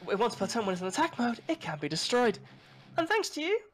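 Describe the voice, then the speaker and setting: A man's voice: extremely high pitch, huge pitch swings, fast. A young man talking casually in character as he announces his moves in a card game roleplay. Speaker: opposing player in a card duel roleplay. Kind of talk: casual in-character gameplay talk.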